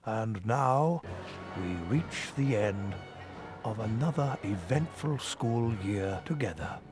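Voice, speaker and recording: An actor playing a wise elderly male headmaster in a video game, addressing the school in a measured, ceremonial way. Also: lowish voice, expressive, music in the background.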